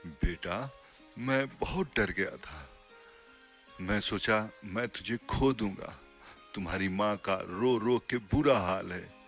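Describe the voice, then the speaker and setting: Man as a slow, cautious cartoon father. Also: lowish voice, fairly bright tone, soft background music. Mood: worried, relieved. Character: caring, cautious village father. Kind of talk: children's cartoon story acting.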